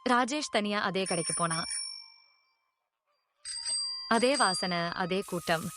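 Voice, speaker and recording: Storybook narrator, woman, even middle pitch, music bed. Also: takes it slowly.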